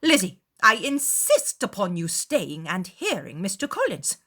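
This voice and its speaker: A woman voicing an excitable, fussy middle-aged mother who gushes and commands in a period audiobook. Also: medium pitch, unhurried, heavily acted. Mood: exasperated, insistent. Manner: gushing, emphatic, commanding. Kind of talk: dramatized period audiobook character acting.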